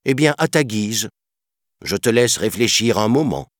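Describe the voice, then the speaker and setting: A protective she-panther from a storybook , voiced by a man, firm and earnest. The pitch is lifted and moves expressively as the guardian reasons and insists. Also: animated, unhurried, a bit bright, no echo, studio quality, heavily acted. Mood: exasperated. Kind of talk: children's storybook reading.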